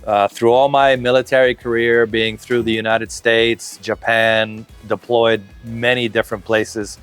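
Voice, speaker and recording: A man's voice: medium pitch, a little slow, smooth, soft background music. A man in his fifties, speaking casually and at length in an interview, with fillers and restarts. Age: 50s. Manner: relaxed, talkative, lots of fillers and restarts. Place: outdoor covered patio, tropical garden.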